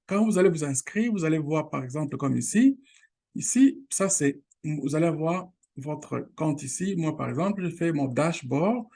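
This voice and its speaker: A man whose first language is Lingala, hosting a casual screen-share tutorial, halting and restarting often, speaking straight to his viewers. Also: a little high, expressive, gravelly, darkish tone. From Congo region, Lingala first language. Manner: halting, with many restarts and fillers; direct appeals to viewers. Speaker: online course channel host.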